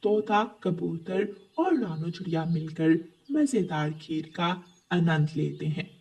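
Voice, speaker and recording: Husky woman narrating a children's story. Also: fairly low pitch, slow pace, dark tone, distant mic.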